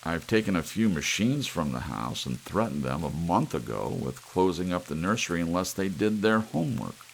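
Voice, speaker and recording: An uneasy, stubborn father, played by a male narrator, speaks tersely and defensively. The voice is deep with a gravelly edge. Faint rain noise runs behind this storybook reading. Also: sing-song, normal speed, few pauses, full character voice, rough recording. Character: uneasy, stubborn father at odds with his household. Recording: audible hiss with rain-like noise.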